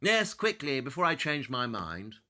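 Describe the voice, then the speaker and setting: An actor playing a cold, brilliant detective who speaks in a curt, condescending and precise way. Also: male voice, medium pitch, big pitch swings, moderate pace, bright, forceful tone, slight background noise. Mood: impatient. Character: cold, brilliant male detective, aloof and impatient. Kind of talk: audio drama acting. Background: faint piano music.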